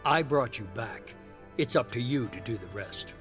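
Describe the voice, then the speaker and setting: An actor playing a calculating middle-aged leader of a covert organisation in a video game, speaking in a measured, persuasive, commanding way. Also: male voice, mid-range voice, expressive, a bit bright, soft background music, lightly acted. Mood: calm, persuasive. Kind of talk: dramatic video game dialogue.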